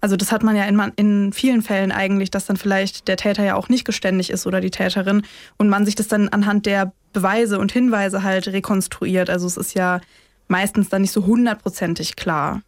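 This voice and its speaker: A woman speaks as a podcast co-host in casual, conversational language. Her voice sits at an average female pitch. The recording is very dry, with no room sound. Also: little pitch movement, brisk, no echo. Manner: colloquial, conversational. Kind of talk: casual true-crime podcast discussion.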